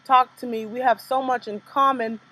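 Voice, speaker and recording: A young woman performing her own spoken word poems in a rhythmic, measured delivery, with casual chatty asides between pieces. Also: fairly high pitch, steady tone, bright, forceful tone. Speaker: poet performing her work. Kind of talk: spoken word poetry reading.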